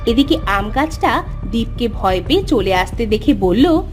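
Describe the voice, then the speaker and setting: A woman's voice: a little high, expressive, a little slow, soft background music. A woman narrator reading a scripted fairy tale for a cartoon.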